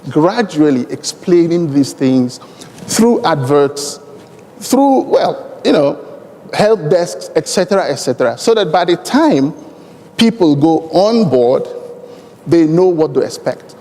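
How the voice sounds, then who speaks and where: Nigerian man, deliberate, in a reverberant hall. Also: a little high, big pitch swings, mellow. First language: Igbo. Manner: deliberate, emphatic, uses elaborate formal phrasing. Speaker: airline executive speaking on a conference panel. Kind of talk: conference panel speech. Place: large conference hall. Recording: long hall reverb from conference PA.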